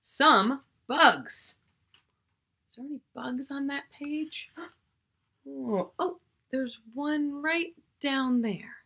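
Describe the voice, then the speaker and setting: A woman's voice: high-pitched, very slow, bright, forceful tone. A middle-aged woman reading a picture book aloud to young children, expressively, drawing out key words and chatting to the listener. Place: small furnished room at home, indoors.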